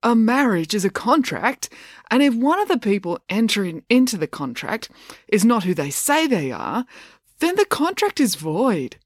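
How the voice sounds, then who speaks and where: A woman voicing a pedantic, literal-minded boy in a storybook, speaking in a deadpan, precise way. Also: fairly high pitch, normal speed, no echo, clean studio sound, voice pushed up. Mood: pedantic, grim.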